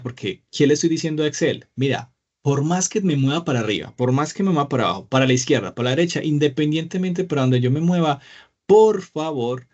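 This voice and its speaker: A man teaching a live online spreadsheet class, patient and encouraging, often asking the class questions. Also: mid-range voice. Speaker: Excel teacher. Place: small room indoors. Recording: slightly dull lo-fi sound.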